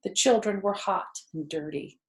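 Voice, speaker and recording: A woman's voice: medium pitch, normal speed. An older woman reading a children's book aloud as a storyteller.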